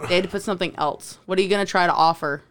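A woman's voice: lowish voice, racing, a bit bright, slight background noise. A woman co-hosting a podcast, chatting playfully. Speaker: podcast co-host. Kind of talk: casual chat.

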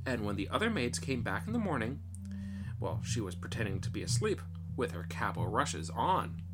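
A man's voice: medium pitch, sing-song, normal speed, rough recording. A man reading a fairy tale as a storyteller in a theatrical, folksy manner.

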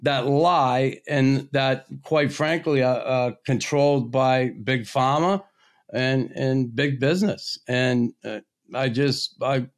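A man's voice: medium pitch, fairly even intonation. A man hosting a podcast, speaking frankly in casual chat, often stopping and restarting his sentences. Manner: agreeable, frank, halting restarts. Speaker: podcast host.

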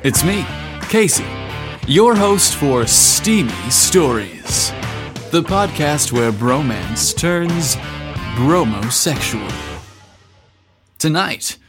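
A man hosting a story podcast, narrating from a script in a deliberate, emphatic way. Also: a little high, very animated, over music.

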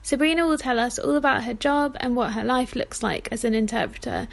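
A woman's voice: a little high, fairly quick, gravelly. A woman hosting a podcast, reading an upbeat intro and then asking her guest questions. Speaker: podcast host. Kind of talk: scripted intro and casual interviewing.

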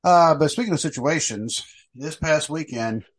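A man's voice: on the high side, normal speed. A middle-aged man hosting a casual podcast chat, rambling with many fillers and restarts. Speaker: podcast host.

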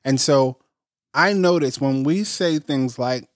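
A man co-hosting a casual podcast, telling stories with enthusiasm and quick restarts. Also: mid-range voice, no room sound, clean studio sound. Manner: enthusiastic, storytelling, quick repeats. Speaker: podcast co-host, band bassist.

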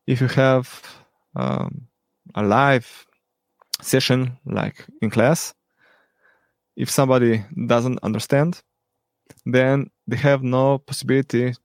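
Slow, gravelly man with frequent ums. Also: medium pitch, dry recording. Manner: hesitant, many ums and pauses. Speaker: teacher and podcast guest. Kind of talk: unscripted interview answers.

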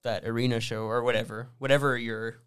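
A man hosting a casual music-business podcast, easygoing and quick to chime in with agreement. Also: medium pitch, flat delivery, fairly bright tone, smooth. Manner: easygoing, frequent agreeing interjections. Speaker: podcast host.

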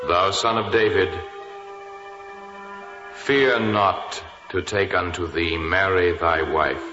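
A solemn angel of old-time radio, proclaiming with forceful, ringing brightness. Each word is stretched very slowly through long reverb, with music behind the dull vintage recording. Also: male voice, low voice, exaggerated voice. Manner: slow, solemn, drawn-out proclamation. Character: solemn male angel proclaiming a divine message in a dream. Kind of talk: 1940s radio drama acting.

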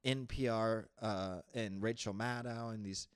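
A boisterous, opinionated Texan man banters on a podcast, laughing often as he pushes his views. His voice sits low and sounds dry and close, with no room around it. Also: fairly even intonation, a little slow, clear, resonant tone, dry recording. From Texas. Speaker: podcast co-host. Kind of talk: casual banter.

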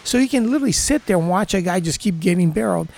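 A man's voice: high voice, expressive, very fast, creaky, darkish tone. A man in his fifties from Brazil, native speaker of Portuguese, chatting enthusiastically as an interview guest with surfer slang. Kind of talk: casual interview chat.

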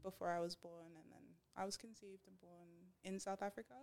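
A woman chatting casually, telling things in an animated, conversational way. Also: medium pitch, brisk, vocal fry. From Australia. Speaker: podcast guest DJ. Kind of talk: casual chat.

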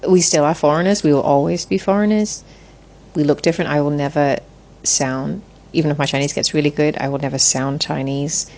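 A woman in her forties, a guest in an interview, talking in a thoughtful, measured way with frequent restarts. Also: sits low, fairly even intonation, darkish tone. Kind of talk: reflective interview conversation.

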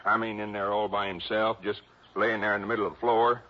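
An actor playing a folksy, self-satisfied police chief of about fifty in a radio drama. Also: male voice, lowish voice, level tone, fairly quick, natural voice. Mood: smug, folksy. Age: 50s. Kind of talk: 1960s radio drama acting. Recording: muffled old radio recording.

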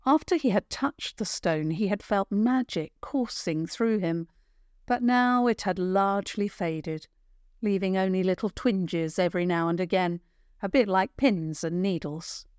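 A woman narrating a scripted children's adventure story in a measured, expressive storytelling manner. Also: on the low side, no echo, studio quality.

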